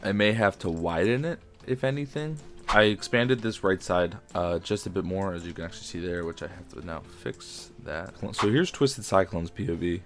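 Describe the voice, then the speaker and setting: A young man with a sore throat and a raspy voice, chatting casually as he builds in a game. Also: low-pitched. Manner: chatty, enthusiastic, frequent fillers. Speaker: game video creator. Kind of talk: casual commentary while building.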